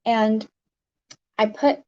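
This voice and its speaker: A livestream host with a raspy voice, chatting casually and haltingly with viewers, with many fillers. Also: medium pitch, fairly even intonation, dark tone, highs cut off.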